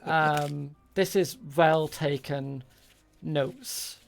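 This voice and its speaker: Creaky-voiced game master, halting delivery. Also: mid-range voice, faint music behind. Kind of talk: improvised tabletop roleplay, speaking as a shopkeeper.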